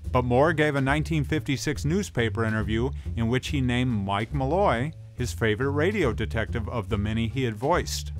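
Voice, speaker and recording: A man's voice: mid-range voice, normal speed, hardly any pauses. A man reading scripted documentary narration in informal, conversational wording. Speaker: narrator and presenter. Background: guitar music.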